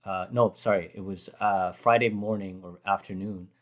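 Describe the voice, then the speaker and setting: Brief: hoarse, slightly low-voiced man, casual rambling storyteller who keeps correcting himself. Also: fairly even intonation, normal speed. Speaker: rapper reacting to his own performance. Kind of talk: casual chat.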